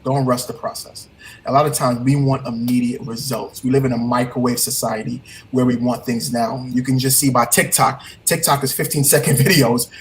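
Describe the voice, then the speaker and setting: A man's voice: medium pitch, fairly even intonation, moderate pace, slight background noise. Trinidadian man coaching earnestly. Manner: earnest, motivational, repeats key phrases. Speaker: guest, heartbreak coach. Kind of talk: casual interview talk.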